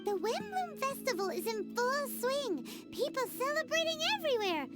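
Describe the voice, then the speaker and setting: A woman voicing a tiny, excitable, chirpy fairy companion in a video game. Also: very high voice, normal speed, music in the background. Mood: chatty. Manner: chirpy, refers to self in third person.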